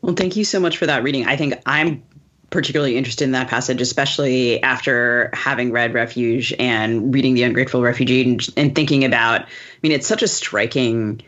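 A woman hosting a conversational podcast interview, thoughtful and halting as she circles toward her questions. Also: very deep voice, a bit bright, highs cut off. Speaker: podcast host.

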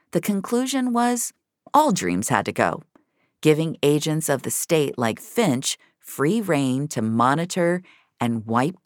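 Woman narrating an audio thriller, super low pitch, lots of vocal fry, dry studio sound. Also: no room sound. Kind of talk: scripted audio fiction narration.

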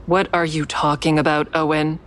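Low-voiced, guarded, sardonic heroine. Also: female voice, level tone, moderate pace, a bit bright. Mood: defensive. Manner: dry, defiant, wry. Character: guarded, sardonic woman, crisis counselor with secret kills. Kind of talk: audio drama dialogue and first-person narration.

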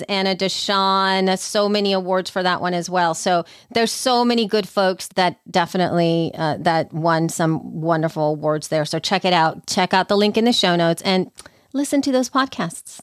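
Lady podcast host just chatting, excited and emphatic, mid pitch, no room echo. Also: measured pace, clean tone, clean studio sound. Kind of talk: casual podcast chat.

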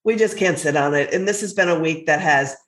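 Podcast co-host, woman, congested and lower than usual, passionate and foul-mouthed, echoey room. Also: fairly quick, fairly bright tone. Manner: blunt, profane, passionate. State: stuffy from a cold, low voice. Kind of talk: casual chat.

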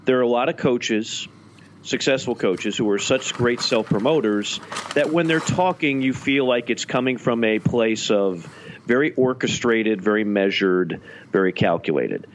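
A man's voice: medium pitch, animated, measured pace, slight background noise. A man co-hosting a podcast, chatting casually and animatedly with frequent restarts. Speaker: podcast co-host. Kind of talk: casual sports talk.

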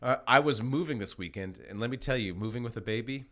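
A man's voice: medium pitch, fast talker, a bit bright. A man hosting a casual comedy podcast, stumbling over words and often laughing at his own jokes. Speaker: podcast host reading jokes and narration.

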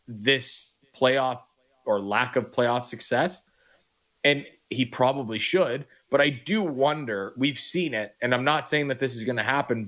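A man with a mid-range voice talking sports on the radio. He works through his argument in a steady, analytical, conversational way. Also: mid-range voice. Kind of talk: sports talk radio chat.

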